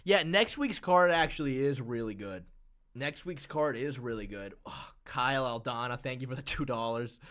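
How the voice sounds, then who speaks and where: A man's voice: mid-range voice, lively intonation, moderate pace, fairly bright tone. Man chatting loosely on a stream. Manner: loose, rambling, chatty with viewers. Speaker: streamer host. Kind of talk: casual live-stream chat.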